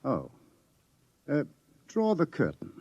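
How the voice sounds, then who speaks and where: An actor playing a wry, grave middle-aged professor who lectures theatrically in a 1950s radio drama. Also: male voice, fairly high pitch, wildly swooping pitch, darkish tone, slightly rough, no echo, dull audio, heavily acted. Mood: commanding, calm. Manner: didactic, theatrical, measured pauses.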